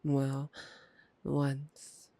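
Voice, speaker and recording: A woman's voice: very deep voice, monotone, drawn-out words, warm tone, no room sound, exaggerated voice. A woman playing a taunting, playful, predatory vampire in an ASMR roleplay, with a teasing, mocking drawl. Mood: teasing, gloating. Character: taunting, playful, predatory vampire gloating over a captive hunter. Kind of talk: ASMR roleplay audio drama.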